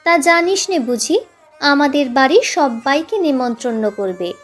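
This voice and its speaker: A woman's voice: high-pitched, animated, unhurried. A woman voicing an offhand, well-off older village boy in a narrated story. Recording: music playing behind the voice.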